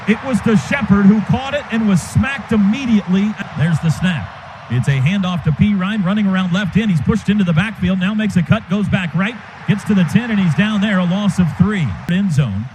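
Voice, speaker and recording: A man calling a football game play by play for radio, in quick clipped bursts that jump up on big plays. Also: high voice, darkish tone. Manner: rapid, clipped calls that rise sharply on big plays. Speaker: play-by-play announcer. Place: stadium radio booth. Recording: noisy stadium radio broadcast.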